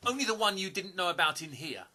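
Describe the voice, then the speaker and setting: Tense, husky male stranger acted by a man. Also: sits high, big pitch swings, fast, sharp tone, exaggerated voice. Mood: challenging. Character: nervous but observant male stranger with a hidden past. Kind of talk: audio play acting.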